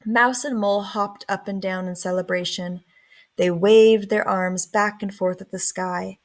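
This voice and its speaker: Slow, expressive woman reading a storybook. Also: medium pitch. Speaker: storybook reader. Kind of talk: expressive children's read-aloud narration.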